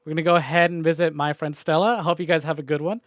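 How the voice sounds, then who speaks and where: A man with a fairly high-pitched voice talks casually to his livestream viewers. His delivery is rambling and informal, with loose phrasing and the odd mild swear. The sound is dry, with no room echo. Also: steady tone, very fast. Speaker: video game livestreamer. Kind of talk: casual chatty stream sign-off to viewers.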